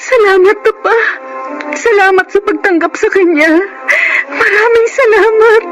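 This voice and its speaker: A woman's voice: very high-pitched, measured pace, over loud music. A woman with a Filipino accent playing a fervent young daughter in love, in a melodramatic radio drama. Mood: tearful. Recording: noisy, radio-like audio with clicks.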